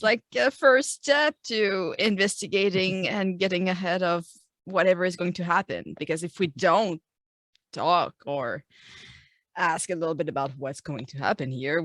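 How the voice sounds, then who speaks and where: A woman playing a tabletop game, casually and haltingly thinking aloud as she discusses what to do. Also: fairly low pitch, fairly bright tone, dry recording.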